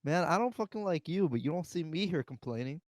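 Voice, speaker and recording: A man's voice: fairly high pitch, animated, fairly quick, no room sound. A young American man hosting a podcast with casual, slangy banter among friends. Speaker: podcast host.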